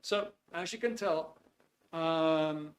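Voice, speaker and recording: A man's voice: fairly high pitch, takes it slowly. A man in his fifties with a Dutch accent, hosting a live online webinar in an easygoing, chatty way with many hesitations. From Netherlands. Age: 50s. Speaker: webinar host. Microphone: video call computer mic.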